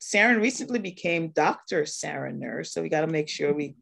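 A woman in her fifties from Guyana hosting a friendly panel conversation, talking in an unhurried way with a few hesitant restarts. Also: sits low, animated, gravelly, fairly bright tone. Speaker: host.

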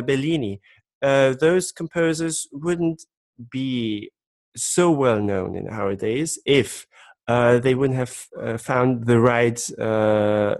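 A man talking as a guest on a podcast, explaining at length with many hesitant pauses and filler sounds. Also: mid-range voice, no room sound. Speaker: guest harpist. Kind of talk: casual podcast conversation.

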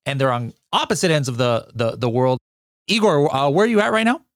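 Upbeat podcast host, dry sound. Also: male voice, medium pitch, big pitch swings, a little fast, no echo. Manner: upbeat, joking, stumbles over words. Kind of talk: casual podcast interview.

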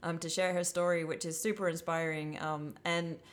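A woman's voice: sits low, level tone, normal speed. A woman in her forties co-hosting a podcast, bubbly and rambling, with lots of ums and likes. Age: 40s. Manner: bubbly, rambling, many fillers. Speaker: podcast co-host. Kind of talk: casual podcast chat.

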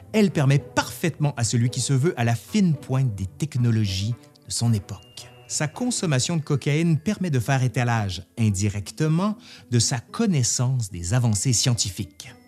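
Guy narrating a history show, really lively pitch, reads quotes with expression, light music behind. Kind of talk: scripted narration with read-out quotations.

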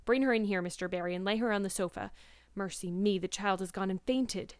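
Woman playing a stern, sharp-tongued older woman. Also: mid-range voice, fairly quick, smooth, no echo, close to an everyday voice. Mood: sharp relief. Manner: curt, scolding, no-nonsense. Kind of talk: storybook character voice.

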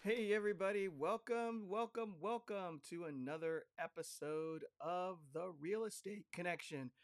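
A man with a hoarse voice hosting a podcast, talking in an upbeat, chatty way with plenty of fillers. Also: high-pitched, measured pace, dry recording. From California. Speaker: podcast host. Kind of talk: casual podcast talk.

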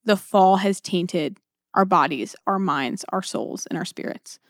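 A woman's voice: mid-range voice, measured pace, creaky, dry recording. Woman co-host in conversation, dry sound. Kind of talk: conversational discussion of a book.

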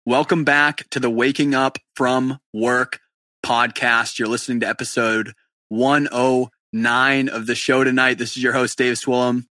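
Upbeat, bright-voiced male podcast host. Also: mid-range voice, little pitch movement, measured pace, no room sound. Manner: upbeat, chummy, loose and enthusiastic. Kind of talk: casual live-stream interview chat.

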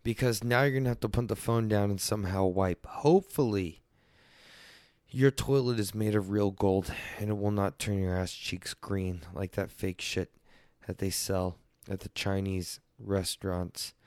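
A man's voice: lowish voice, steady tone, normal speed, darkish tone, no room sound, clean studio sound. A man hosting a crude solo comedy podcast, rambling loosely and swearing freely. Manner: profane, loose and rambling, mock-defensive. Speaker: podcast host.

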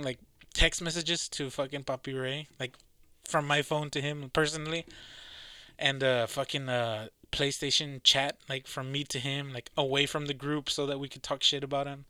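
A man in relaxed gaming banter, cursing casually and laughing easily. His voice is very bright and sits close on a dry mic. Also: medium pitch, dry recording. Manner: animated, swears a lot, laughs often.

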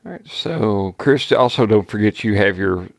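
A man playing a tabletop game in a loose, joking manner. His pitch swings widely as he talks. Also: lively intonation, moderate pace, no room sound. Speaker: player.

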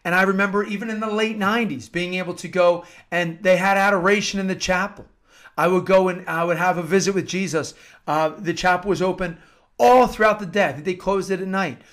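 High-voiced American man preaching earnestly. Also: steady tone, a little fast, a bit bright. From United States. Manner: earnest, emphatic, repeats phrases for emphasis. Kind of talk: informal devotional talk with scripture-like readings.

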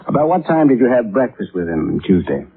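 An actor in a 1950s radio drama playing a serious, polite police sergeant who questions in a measured, official, persistent manner. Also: male voice, medium pitch, sing-song, natural voice. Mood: serious, probing. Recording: muffled, narrow-band old radio broadcast.

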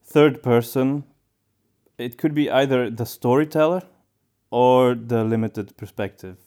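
A man's voice: medium pitch, measured pace, mellow, smooth. A man in a casual podcast discussion, feeling his way through his thoughts with repeated and rephrased words. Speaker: podcast co-host.

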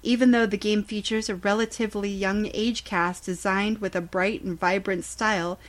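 Woman reading a scripted gaming news report. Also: medium pitch. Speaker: podcast segment host.

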